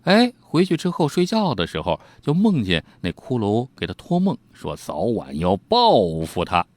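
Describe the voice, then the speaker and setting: Male storyteller, colloquial and animated, teasing asides, very dry close sound. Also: medium pitch, moderate pace, no echo. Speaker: storyteller, host. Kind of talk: informal storytelling narration of old ghost tales.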